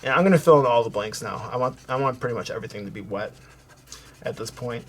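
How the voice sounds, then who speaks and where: A man hosting a coloring tutorial, talking casually and softly as he works, thinking aloud with fillers and restarts. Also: medium pitch, fast, a little hiss or hum. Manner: relaxed, thinking aloud while working, with fillers and self-corrections; soft-spoken, hoping to sound calming. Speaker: art tutorial host.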